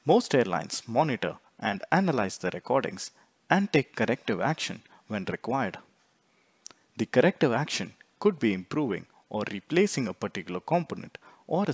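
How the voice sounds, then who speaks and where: Guy doing a scripted explainer voiceover, lowish pitch, measured delivery, very dry close recording. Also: husky, no room sound. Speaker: narrator of aviation explainer. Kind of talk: scripted instructional narration.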